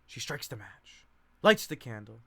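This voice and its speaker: A man's voice: medium pitch, sing-song, unhurried, gravelly, sharp tone, slightly rough, no echo. A man reading a play's stage directions aloud as an amateur, in an informal way, breaking into his own asides. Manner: self-interrupting, joking asides. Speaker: amateur play reader.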